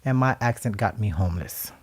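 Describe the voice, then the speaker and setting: A Haitian man co-hosting a radio talk show, speaking reflectively and with emphasis, sometimes becoming animated and laughing as he tells stories. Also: lowish voice, fairly quick. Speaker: co-host.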